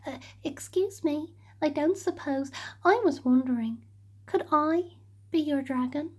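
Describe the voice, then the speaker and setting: A woman voicing a shy, nervous young male dragon in a storybook reading, speaking softly and hesitantly. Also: high-pitched, measured pace. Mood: timid.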